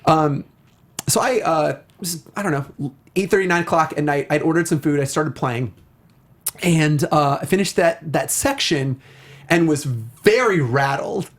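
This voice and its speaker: A man's voice: a little high, lively intonation, a little slow. A man co-hosting a casual discussion show, telling anecdotes in a chatty, enthusiastic way. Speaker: talk show co-host. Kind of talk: casual video game and film discussion.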